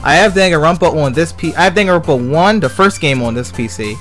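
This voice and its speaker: A man's voice: fairly high pitch, quick pace, a bit bright. Rambling man livestreaming, music behind him. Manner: rambling, repeats phrases. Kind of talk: casual gaming stream chat.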